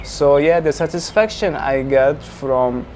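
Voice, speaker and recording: A man's voice: a little high, unhurried, darkish tone. A young man with a Lebanese accent, a real estate businessman talking earnestly in a casual interview, with lots of fillers. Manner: frequent fillers, earnest, talkative. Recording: faint ambient noise.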